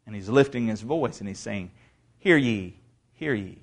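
A man preaching a sermon in a church, talking casually with asides and restarts, then reading scripture aloud and building to loud proclamation. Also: lowish voice, animated, vocal fry. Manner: reads scripture aloud slowly. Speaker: pastor. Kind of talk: expository sermon.

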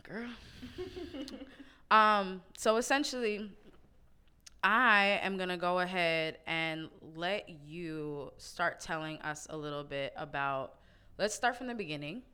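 Casual woman host, low and crisp, laid-back with frequent laughs. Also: takes it slowly, sharp tone. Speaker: podcast host. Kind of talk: casual chat.

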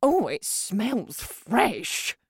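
A woman's voice: mid-range voice, very animated, extremely slow, slightly rough, dry recording, studio quality, full character voice. A woman playing a doting, pleading mother in a children's story, coaxing her fussy son in sing-song encouragement. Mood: encouraging.

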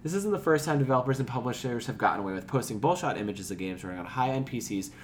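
A man's voice: mid-range voice, expressive, racing, a little hiss or hum. A man co-hosting a gaming podcast in an informal way, moving between casual chat and reading news. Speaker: podcast co-host.

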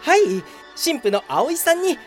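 Woman playing a high, animated, pompous office worker. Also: measured pace, faint music behind. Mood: brazen showmanship. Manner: pompous, grandly self-promoting. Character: brazen, oblivious, self-satisfied veteran office worker. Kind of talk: comedic Japanese radio drama acting.

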